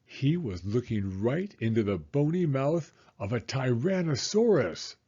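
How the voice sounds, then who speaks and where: Man, children's read-aloud narrator, expressive, low-key husky tone, unhurried. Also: muffled recording. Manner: expressive, deliberate storytelling. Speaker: storybook reader.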